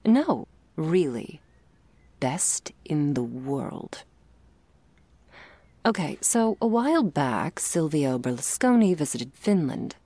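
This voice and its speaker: A woman playing an affectionate, wry girlfriend in an intimate ASMR roleplay, talking softly and teasingly to her sleepy partner. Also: low voice, big pitch swings, slow, no room sound, exaggerated voice. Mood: playful, animated. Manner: soft, teasing, drifting between whispers and playful chatter.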